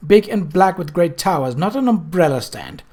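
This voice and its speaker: A man's voice: high-pitched, animated, normal speed, a little mellow, pitched above the performer's own voice. A man doing a haughty princess. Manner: sniffy, critical. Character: critical haughty young princess.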